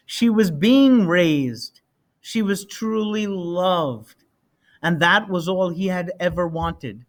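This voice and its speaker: Slow, deliberate man reading a picture book. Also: high voice. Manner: deliberate, dramatic emphasis on key words. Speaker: storybook reader. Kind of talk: expressive children's picture book read-aloud.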